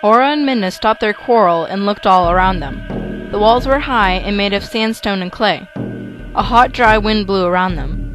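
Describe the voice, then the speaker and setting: A woman's voice: medium pitch, moderate pace, fairly bright tone, soft background music. A woman reading scripted, dramatic story narration for an amateur audio drama.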